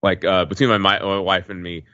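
A man's voice: sits low, level tone, a little fast, pushed and bright, no room sound. An American man on a podcast panel giving a casual, informal recap with repeated starts, on a slightly muffled mic.

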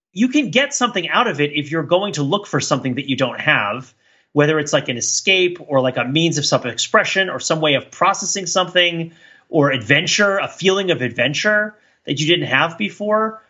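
Bright-voiced man rambling on a podcast. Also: a little high, expressive, breathy edge, dull audio. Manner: rambling, halting, repeats words while thinking aloud. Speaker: podcast co-host. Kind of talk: casual reflective podcast discussion.

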